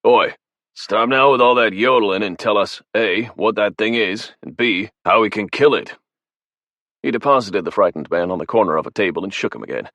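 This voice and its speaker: A man playing a gruff swearing squad boss. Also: lowish voice, moderate pace, a bit bright, no echo, pitched above the performer's own voice. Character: tough male soldier boss, gruff and profane.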